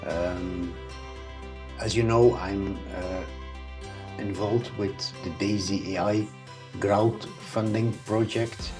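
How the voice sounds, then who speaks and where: Middle-aged Dutch-native man, tutorial presenter, low voice, faint music under. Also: fairly even intonation, slow. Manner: hesitant, many ums and uhs. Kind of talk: screen-recorded software tutorial.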